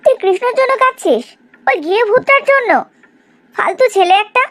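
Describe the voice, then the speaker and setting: Woman acting brash, high-voiced young cartoon heroine. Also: lively intonation, fairly quick, a bit bright. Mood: disdainful, protective. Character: blunt, disdainful young woman, fiercely loyal friend. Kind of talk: Bengali cartoon drama acting.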